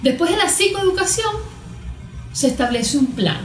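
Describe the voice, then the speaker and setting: A Venezuelan woman explaining as an expert guest in conversation, halting and repeating words, often posing rhetorical questions. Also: very high-pitched, animated, soft background music. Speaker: cognitive therapist, interview guest. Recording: echoey room with background noise.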